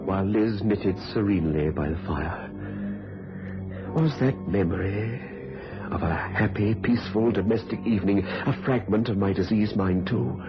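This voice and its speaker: An actor playing a tormented husband who fears for his sanity in a radio thriller, agitated and highly dramatic. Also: normal speed, natural voice. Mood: brooding, bitter. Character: tormented husband fearing he is going mad. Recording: muffled radio recording, music behind.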